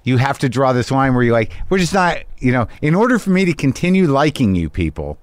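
A man's voice: medium pitch, big pitch swings, brisk, dry recording. A middle-aged man hosting a casual interview in a home garage studio. He rambles, trails off and restarts, and swears freely. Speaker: podcast host. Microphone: studio mic, close.

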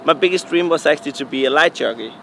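A young man from Denmark, a DJ and music producer, answering interview questions in halting, filler-heavy English with a Danish accent. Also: a little high, creaky, a bit bright, a little hiss or hum. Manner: halting non-native English, frequent fillers.

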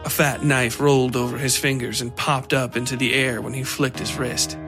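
A male narrator reads a scripted piece of dark fiction. His voice sits at an average pitch. Music plays clearly underneath the reading. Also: little pitch movement, normal speed. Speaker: story narrator.

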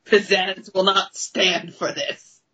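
A woman's voice: mid-range voice, fairly even intonation, takes it slowly, pushed and bright, lo-fi sound, slight background noise. A woman co-hosting a casual comic book discussion, informal and appreciative, often acting out lines. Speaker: podcast host.

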